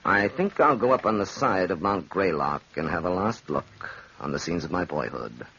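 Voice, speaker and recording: A man's voice: deep voice, expressive, moderate pace, sharp tone, breathy edge, exaggerated voice. An actor playing a cold, mocking, doomed man in theatrical 1940s radio style, on a dull old recording. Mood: ominous calm. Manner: theatrical, sardonic.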